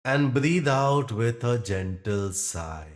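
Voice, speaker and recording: A man guiding a meditation as a yoga nidra teacher, speaking in gentle instructions with long pauses. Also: medium pitch, animated. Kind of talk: guided meditation.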